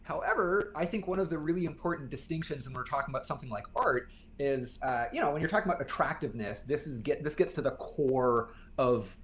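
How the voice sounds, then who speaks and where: A man's voice: a little high, lively intonation, fast, hardly any pauses, a bit bright, slight background noise. A young man talking casually and thoughtfully as a podcast guest, carefully qualifying his points as he works through them. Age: young adult.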